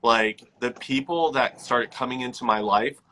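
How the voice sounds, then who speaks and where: A man's voice: medium pitch, measured pace, bright, forceful tone. A man talking reflectively but firmly in a casual conversation, leaning on "like" as a filler. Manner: reflective, lots of "like" fillers, firm.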